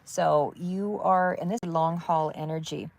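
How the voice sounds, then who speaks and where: A woman giving a live tarot reading in a calm, soothing voice that wanders between thoughts with many fillers. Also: low-pitched, a little slow, creaky. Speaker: tarot reader.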